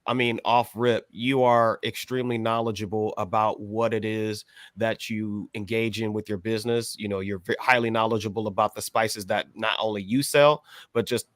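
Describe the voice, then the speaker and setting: A man hosting a casual podcast interview, wordy and halting as he circles around his phrasing. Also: fairly low pitch, steady tone, fairly bright tone, no echo, clean studio sound. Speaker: podcast host interviewing a guest.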